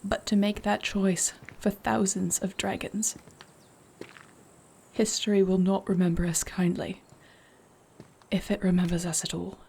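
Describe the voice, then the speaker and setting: A woman playing a noble warrior princess in a fantasy audio roleplay, speaking solemnly, formally and with deliberate care. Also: mid-range voice, flat delivery, creaky, warm tone, slightly rough. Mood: anguished, grave. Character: noble warrior princess, grave, torn, devoted wife.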